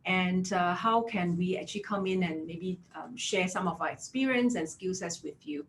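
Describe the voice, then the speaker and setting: Casting: Malaysian-accented woman, 30s, HR career coach, lowish voice, chatty, faint hiss behind. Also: moderate pace. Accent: Malaysian English. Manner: chatty, filler-heavy, affirming with "yeah" and "right?". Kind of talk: webinar presentation.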